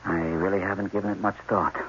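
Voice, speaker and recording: An actor playing an embittered, fatalistic scientist held captive, speaking emphatically in a 1980s radio drama. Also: male voice, sits low, steady tone, fast, fairly bright tone. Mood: grim, hushed. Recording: dull, noisy old radio broadcast.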